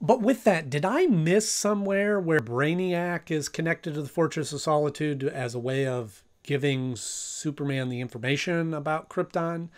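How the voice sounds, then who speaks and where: A man's voice: fairly high pitch, normal speed, hardly any pauses. A man in his thirties giving a casual review to camera as host of a video. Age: 30s. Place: small home room, indoors.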